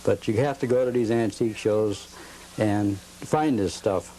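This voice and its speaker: Male interview guest, low voice, relaxed and rambling. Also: measured pace, low-quality recording. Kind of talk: casual interview answers. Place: at home.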